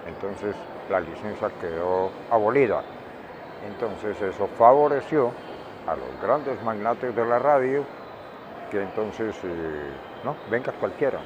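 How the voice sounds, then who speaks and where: An older Colombian man speaks in a low voice at a very slow, deliberate pace. He answers reflectively, stressing points by repeating words. The recording is dull, with clear traffic noise behind him. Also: lively intonation. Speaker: interviewee, veteran radio director and journalist. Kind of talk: reflective interview talk.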